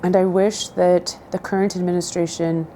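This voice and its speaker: A middle-aged woman from southern Idaho whose first language is Spanish, answering interview questions in a measured, thoughtful way with frequent pauses. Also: lowish voice, flat delivery, dark tone, slight background noise.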